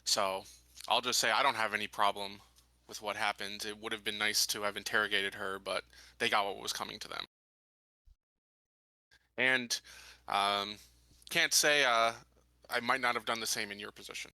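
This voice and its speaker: Low male voice, very bright and sharp, dry sound, halting casual game-player talk. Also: steady tone, dry recording, poor audio.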